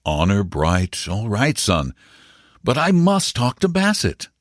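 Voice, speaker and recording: A man's voice: on the low side, huge pitch swings, slow, no room sound, clean studio sound, exaggerated voice. A man voicing an amused, indulgent, jovial uncle in a storybook. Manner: jovial, teasing. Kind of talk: storybook character dialogue.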